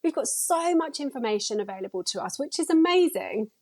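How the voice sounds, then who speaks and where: A woman's voice: high-pitched, expressive, brisk, a little mellow. A woman speaking as a podcast guest, enthusiastic and encouraging in casual conversation. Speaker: podcast guest, energy healer.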